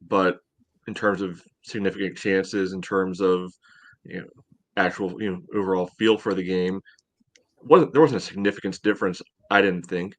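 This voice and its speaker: Male podcast co-host, deep-ish and close-miked, halting casual sports analysis. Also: steady tone, fairly bright tone, no room sound.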